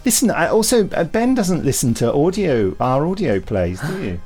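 A British man chats casually as a podcast host with a teasing manner. His voice moves up and down in an animated way, with some music in the recording. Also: a little high, moderate pace. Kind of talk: casual chat.

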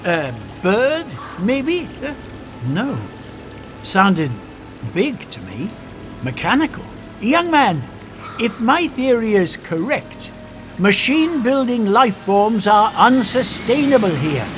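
A man's voice: high voice, very animated, slow pace, over music, full character voice. An actor playing an old male time traveller in an audio drama, musing and lecturing with little hums. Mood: puzzled.

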